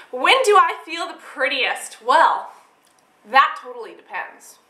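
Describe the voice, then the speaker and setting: Young woman, high-pitched voice, echoey room, chatty vlog delivery, rambling and animated. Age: young adult. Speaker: beauty and lifestyle vlogger. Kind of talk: casual chat answering tag questions to camera.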